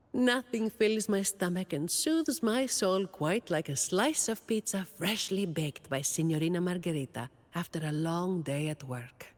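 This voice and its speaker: A woman playing a weary, dutiful young office employee in a video game, delivering scripted monologue in a dry, deadpan way. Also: medium pitch, in a large hall. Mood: content, wistful.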